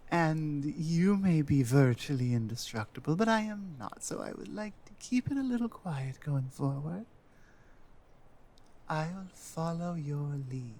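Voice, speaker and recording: Woman doing a loyal fantasy healer lady, asmr roleplay, kinda unsure of herself, slow n halting, stammers a bit. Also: sits low, warm tone, exaggerated voice. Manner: hesitant, halting, with stammered restarts. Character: earnest female warrior-healer companion, loyal and self-doubting. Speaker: audio roleplay performer.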